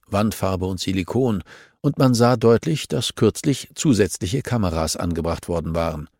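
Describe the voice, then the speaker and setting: A man's voice: fairly low pitch, a little mellow, dry recording, clean studio sound. A man reading scripted narration from a crime novel as an audiobook narrator.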